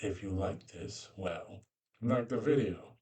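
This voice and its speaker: A man narrating an informal fantasy creature guide in halting, loosely phrased explanations. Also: fairly low pitch, a little mellow, distant mic.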